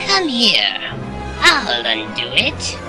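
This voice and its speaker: A woman's voice: fairly low pitch, huge pitch swings, extremely slow, heavily acted. A woman voicing a seductive, domineering male alien crime prince with a silky, imperious manner. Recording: loud music under the voice.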